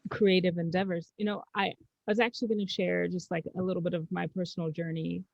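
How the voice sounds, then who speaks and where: A woman's voice: on the low side, level tone, fast talker, gravelly, darkish tone, no room sound. A woman from Zambia co-hosting a podcast, speaking in a reflective, encouraging way. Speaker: podcast co-host. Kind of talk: casual podcast conversation. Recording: dull dry lo-fi sound.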